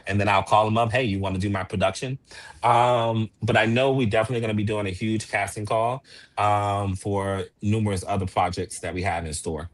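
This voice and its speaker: A man's voice: fairly low pitch, fairly even intonation, a little fast. A man talking casually as a playwright and producer being interviewed.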